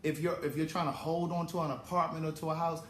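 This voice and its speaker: A man's voice: a little high, flat delivery, quick pace, echoey room. A man in his forties on a talk show, reflective and giving blunt advice. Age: 40s. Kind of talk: casual talk show chat.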